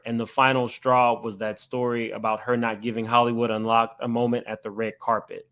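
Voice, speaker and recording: A man giving gossip commentary as he reacts to clips, spelling out his points in a measured, deliberate way. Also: medium pitch, fairly bright tone.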